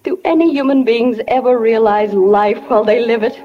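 An actress playing an anguished young wife in a 1940s radio drama, with a breathy, impassioned, tremulous delivery. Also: female voice, a little high, moderate pace, muffled recording, lightly acted. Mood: tearful farewell. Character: young wife in anguish, revisiting her childhood after death. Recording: old 1940 radio broadcast, faint music behind.

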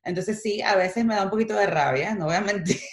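A woman's voice: medium pitch, brisk, echoey room. A middle-aged woman from Venezuela with a Venezuelan Spanish accent, chatting animatedly as a guest and dropping in English words. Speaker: guest, makeup artist. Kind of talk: casual interview chat.

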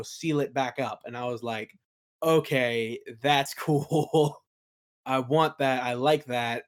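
A man chatting casually as an interview guest, telling colloquial, rambling stories as a game master. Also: medium pitch. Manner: rambling, colloquial, acts out quoted remarks. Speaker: guest, game master and audio play creator. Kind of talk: casual chat, anecdotes.

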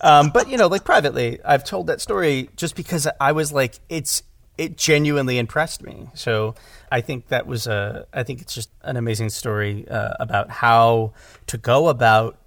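A man chatting casually as a director on a podcast, effusive, often halting and repeating his phrases. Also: medium pitch, creaky, fairly bright tone, dry recording. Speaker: podcast speaker, audio drama director.

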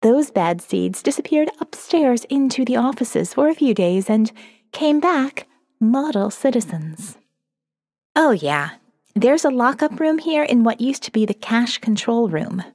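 Woman acting young female diarist, wry, foul-mouthed, conversational audio drama narration, very animated pitch, close dry sound with a reverb tail. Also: measured pace. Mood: wry, confiding. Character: sardonic young female college student keeping a survivor's diary. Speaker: audio novel reader. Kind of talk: first-person diary narration, dramatic reading.

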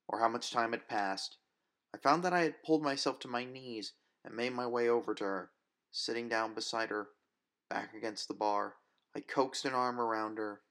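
A man's voice: lowish voice, creaky, fairly bright tone. A man reading a story as narrator, in dramatic scripted first-person narration.

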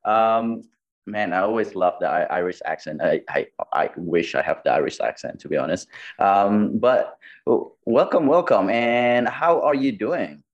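Deep-voiced male podcast host, lo-fi. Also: lively intonation, unhurried. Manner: friendly, hesitant with fillers. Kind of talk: conversational interview. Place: Bali.

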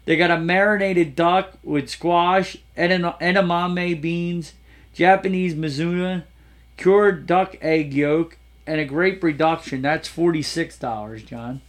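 A man's voice: on the high side, steady tone, a little slow. A man hosting a casual chat, joking and stumbling over food names as he reads a menu aloud. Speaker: podcast host.

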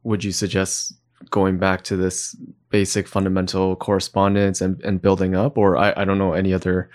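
A podcast host with a very low voice puts questions to a guest. The host keeps up a steady run of short encouraging replies and then signs off the episode. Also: normal speed. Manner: brief encouraging backchannels. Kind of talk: interview.

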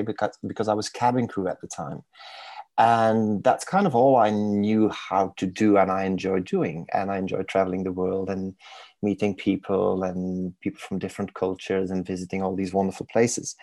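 A man in his forties with a low voice and a Belgian accent that slips into a Manchester twang. He talks thoughtfully and often pauses to search for words, with a light self-mocking humour. The sound is close and dry, with no room around it. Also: darkish tone, no room sound. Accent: Belgian with a touch of Manchester. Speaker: guest, mindfulness coach. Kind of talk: reflective podcast interview.

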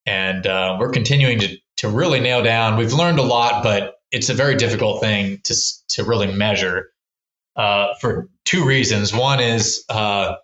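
A man with a fairly low voice and a bright, forceful edge talks through his research in a loose, rambling way, often restarting his sentences. His voice echoes in a roomy space, and the audio sounds a little dull. He comes across as a researcher being interviewed on a podcast. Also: moderate pace. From Texas. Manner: rambling, many restarts and fillers. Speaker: wildlife researcher, podcast guest. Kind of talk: casual explanatory interview talk.

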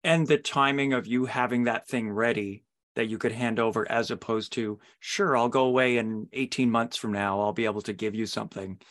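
A man's voice: mid-range voice, fairly even intonation, moderate pace, a bit bright. A man hosts a podcast interview. He talks in a conversational, discursive way, taking his time to build toward his questions.

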